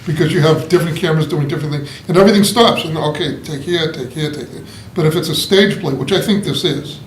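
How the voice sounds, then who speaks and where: Guy with a fairly high voice, stumbling a bit, echoey room. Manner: stumbling, halting. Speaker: writers' group member. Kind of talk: casual critique discussion. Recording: roomy table recording, faint noise.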